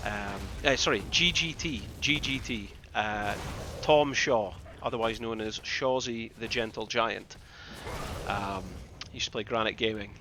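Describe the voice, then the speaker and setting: A man from the Scottish Highlands with a Scottish accent, casting a game live in a conversational, rambling way and repeating phrases for emphasis. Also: a little slow, noisy background. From Scottish Highlands, northeast Scotland. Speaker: esports caster and streamer. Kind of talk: live game commentary with casual asides to chat.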